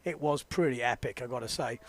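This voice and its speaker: A man's voice: mid-range voice, brisk. A man giving chatty, upbeat live commentary on a windsurfing race, joking with his co-commentator. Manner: chatty, upbeat, jokey banter.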